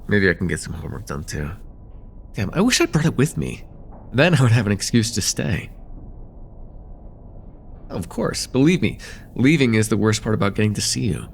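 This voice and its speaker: Man acting an affectionate, lively-toned college guy. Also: lowish voice, normal speed, vocal fry, pauses often, slight background noise. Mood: easygoing, caring. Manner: casual, soft-hearted, hesitant, chuckling banter. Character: affectionate, teasing young male chemistry student with a crush. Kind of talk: slice-of-life ASMR roleplay, intimate one-sided dialogue.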